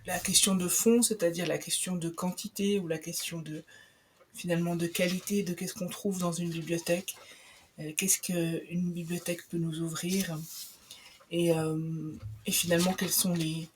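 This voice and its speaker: A woman's voice: fairly low pitch, little pitch movement, moderate pace, a little hiss or hum. A young woman on a radio book show, talking about a book with many fillers and restarts. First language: not Italian. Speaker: guest presenting a book. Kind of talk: live radio book chat.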